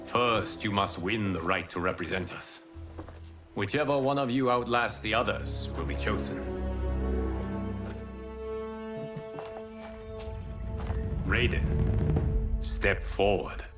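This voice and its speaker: An actor playing a calm, god-like lord who mentors fighters in a video game, speaking formally and with measured authority. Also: male voice, lowish voice, over loud music, lightly acted. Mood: commanding. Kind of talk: cinematic video game voice acting.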